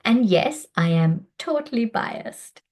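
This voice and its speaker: A woman in her fifties from Sri Lanka giving a prepared personal presentation as a doctor, in a measured, earnest way. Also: on the high side, animated, lo-fi sound. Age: 50s.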